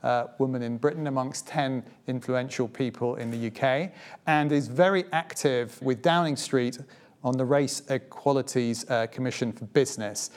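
A man's voice: medium pitch, fairly even intonation, normal speed. Man hosting a museum evening talk. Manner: formal, reads from notes, gently humorous. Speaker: host of a talk event. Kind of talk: event introduction and interview. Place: museum event space before an audience.

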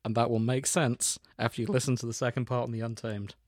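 A man's voice: mid-range voice, flat delivery, quick pace, no echo, clean studio sound. A man co-hosting a casual podcast, reading out a fanfic and laughing often mid-sentence. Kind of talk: casual chat with dramatic reading.

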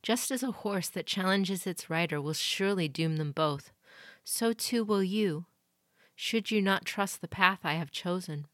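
A woman's voice: lowish voice, no room sound, clean studio sound, natural voice. A woman doing a stern noble mother. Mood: calm, solemn. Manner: commanding, measured.

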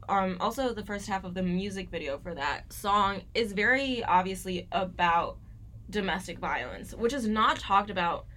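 Young woman co-host, bright and forceful tone, earnest student-style explainer. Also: medium pitch. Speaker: podcast co-host. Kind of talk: casual scripted discussion.

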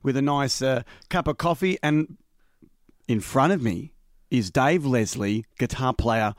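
An Australian man hosting a podcast, chatty and friendly, quick to agree and laugh with his guest. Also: medium pitch, dry recording, clean studio sound. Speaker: podcast host. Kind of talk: casual podcast interview.

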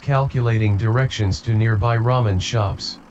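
Through a dull, muffled sound with faint car noise comes an actor as a begrudging in-car assistant. The mid-pitched voice makes flat, robotic announcements for an audio drama. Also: moderate pace, natural voice. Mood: flat, dutiful.

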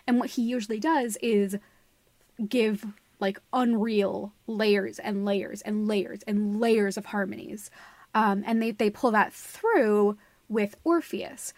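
A woman talks casually and emphatically about stage shows and audio drama in a dry recording. Her pitch is average for a woman, and she leans on repeated phrases and the odd swear word as she gushes over details. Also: fairly even intonation, takes it slowly, a little mellow, no room sound. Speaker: podcast co-host. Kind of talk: casual, opinionated discussion of theatre and audio drama.

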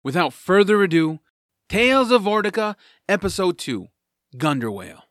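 A man's voice: high-pitched, big pitch swings, moderate pace, fairly bright tone, no room sound. A man narrating a scripted introduction as the host of an audio series.

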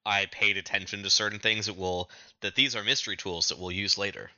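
A man co-hosting a podcast, giving a casual, halting recap of comic chapters. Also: lowish voice, fairly even intonation, bright, forceful tone, dry recording, dull audio. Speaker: podcast co-host.

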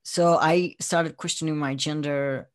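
A man's voice: a little high, fairly even intonation, unhurried, clear, resonant tone, dry recording, studio quality. Spanish-accented man in casual interview chat. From Spain. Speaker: interview guest, audio drama creator.

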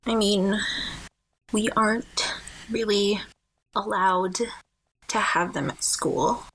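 A woman's voice: medium pitch, steady tone, slow pace, fairly bright tone, husky, full character voice, rough recording. A woman in an audio drama playing a dramatic, easily embarrassed schoolgirl with a sulky, whiny manner. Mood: sheepish.

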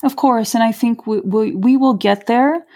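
A woman's voice: on the high side, moderate pace, darkish tone. A woman playwright as a podcast guest, talking in an earnest, reflective way and repeating words for emphasis. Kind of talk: podcast interview.

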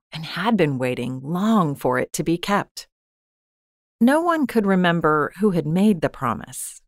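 A woman reading a children's fable aloud in a calm, measured storytelling manner. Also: fairly low pitch, dry recording, studio quality. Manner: calm, measured storytelling with soft quoted asides. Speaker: storybook reader. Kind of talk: gentle scripted story narration.

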